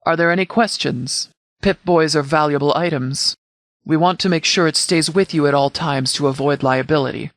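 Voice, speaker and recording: An actor playing a stern, composed sheriff of a post-apocalyptic militia in a video game, speaking in a measured, formal, authoritative way with clipped orders. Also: male voice, on the high side, fairly bright tone, dry recording. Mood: stern, businesslike. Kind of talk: scripted video game dialogue.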